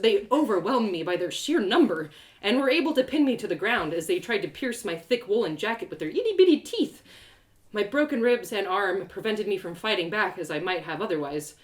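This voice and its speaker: A woman reading a first-person story aloud with expressive, playful, dramatic delivery. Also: medium pitch, moderate pace. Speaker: author reading a story live.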